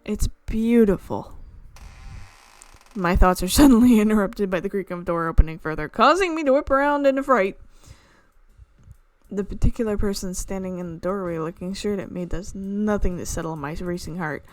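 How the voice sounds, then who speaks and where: A woman's voice: medium pitch, moderate pace. A woman reading visual novel narration aloud as a let's player, with casual reactions of her own.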